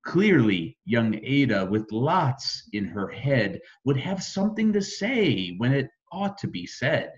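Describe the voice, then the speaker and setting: An American man reading a rhyming children's bedtime story expressively, with dramatic emphasis and deliberate phrasing. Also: mid-range voice, very animated, muffled recording. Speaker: storybook reader.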